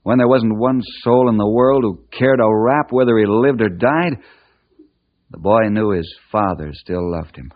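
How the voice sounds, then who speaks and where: A man's voice: lowish voice, measured pace, natural voice. A man playing a patient, upright mentor to boys, earnest and reassuring, with an American accent, in an old-time radio drama. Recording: muffled old radio recording.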